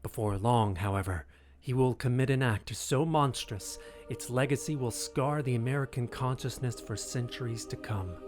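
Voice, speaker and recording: A man giving measured, solemn scripted narration for a historical audio drama. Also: mid-range voice, a little mellow, faint music behind.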